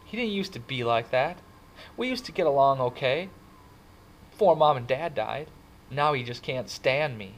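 A man's voice: medium pitch, animated, moderate pace, a little hiss or hum, voice pushed up, natural voice, rough recording. A man reading a storybook, voicing an emotional teenage boy who pleads and protests in a raised voice. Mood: upset, hurt. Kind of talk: dramatic audiobook character reading.

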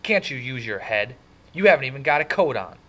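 A man reading a storybook, voicing a furious young man scolding his younger brother in loud, exasperated hollers. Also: medium pitch, very animated, a little fast, pitched above the performer's own voice. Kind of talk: dramatic audiobook character reading.

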